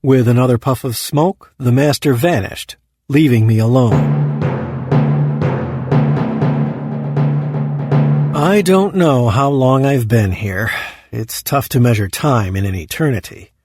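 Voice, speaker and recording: A man's voice: mid-range voice, normal speed, over music, close to an everyday voice. An actor playing a scheming, anxious underling who tells his own story in a first-person audio drama. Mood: resigned, scheming. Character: scheming damned man, anxious, self-pitying, ambitious underling.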